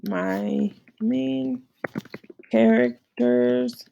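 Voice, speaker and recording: A woman's voice: on the high side, extremely slow, creaky, dark tone, slight background noise. A woman teaching informally, walking students through an assignment in a conversational way and often checking in with short tag questions. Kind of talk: informal screen-share lesson, walking through slides.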